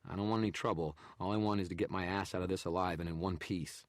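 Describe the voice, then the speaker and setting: A man voicing a jumpy male photographer, pitched up and pushed louder than the narration, stammering and pleading, dry studio sound. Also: steady tone, quick pace, no room sound, close to an everyday voice. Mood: bargaining. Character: jittery frightened male photographer. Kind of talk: audiobook character reading.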